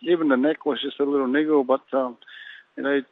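A Samoan-born boxer being interviewed, talking haltingly and filling pauses with "you know". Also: male voice, medium pitch, steady tone. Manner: halting, leans heavily on "you know" fillers. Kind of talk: phone interview. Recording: tinny phone line.

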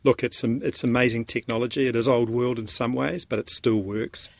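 A broadcasting executive speaking in a relaxed, conversational way in a news interview clip, with small restarts. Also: male voice, medium pitch, a little fast, gravelly, dry recording, clean studio sound.

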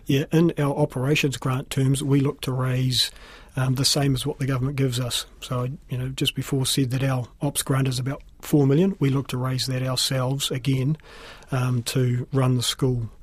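Husky New Zealand man, evenly pitched. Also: level tone, moderate pace, hardly any pauses, no echo. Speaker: school principal being interviewed. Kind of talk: explanatory interview talk.

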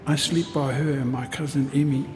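A man playing a storyteller who tenderly recalls an island childhood in a husky voice, as lyrical radio drama narration. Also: medium pitch, steady tone, brisk, big-room sound, music in the background, close to an everyday voice. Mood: tender reverie.

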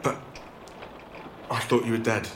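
An actor playing a defensive, agitated young groom in a naturalistic audio drama. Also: male voice, mid-range voice, level tone, measured pace, slight background noise, natural voice. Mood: stunned.

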